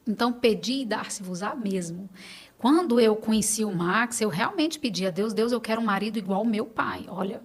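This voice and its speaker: A woman's voice: medium pitch, moderate pace. A middle-aged Brazilian woman speaking Portuguese as a podcast guest, in casual, earnest personal conversation, repeating phrases for emphasis.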